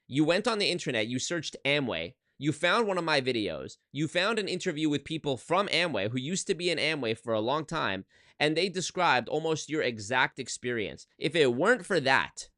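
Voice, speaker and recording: A man's voice: on the high side, big pitch swings, normal speed, sharp tone, no echo, clean studio sound. A man in his twenties, a YouTuber talking casually on a livestream from his apartment into a desk microphone, emphatic and swearing, often restarting his sentences. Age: 20s. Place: one-bedroom apartment.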